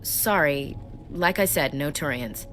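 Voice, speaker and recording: A woman's voice: deep voice, a little slow, fairly bright tone, soft background music. A woman playing a dutiful, wary young soldier in a video game, speaking in a plain, matter-of-fact way.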